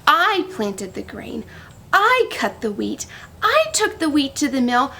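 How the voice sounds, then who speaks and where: Storybook hen, read by a woman: industrious and independent, higher register with a little creak, deliberate plain delivery. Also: sing-song, bright, forceful tone, slight background noise, full character voice. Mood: firm. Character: hardworking, self-reliant little red hen in a storybook.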